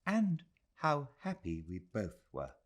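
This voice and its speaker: A man voicing an eager, courteous gentleman host in an audiobook, speaking earnestly and effusively. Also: mid-range voice, wildly swooping pitch, slow, lo-fi sound, pitched above the performer's own voice, full character voice. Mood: tender. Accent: British. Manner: earnest, ardent, emphatic. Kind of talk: audiobook character voice.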